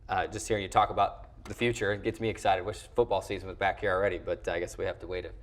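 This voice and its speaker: An American man with a lowish voice hosts a sports program. He talks like a play-by-play announcer in a conversational interview, moving between chat and presenting. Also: level tone, quick pace. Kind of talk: conversational sports show hosting.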